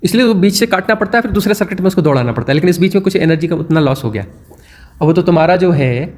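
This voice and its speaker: A man's voice: sits high, expressive, quick pace, darkish tone. A man with an Indian accent giving a spiritual discourse, asking rhetorical questions and repeating phrases again and again to make his point. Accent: Hindi-speaking Indian. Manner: repetitive, rhetorical questions, chanting phrases to illustrate. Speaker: spiritual teacher. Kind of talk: satsang discourse.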